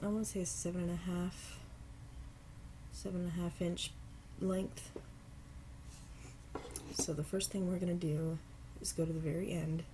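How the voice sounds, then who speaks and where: Woman, stuffy with a cold, mellow dark tone, unhurried craft tutorial. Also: deep voice, slight background noise. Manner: relaxed, unhurried, apologetic asides. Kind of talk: casual step-by-step craft tutorial. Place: home craft table.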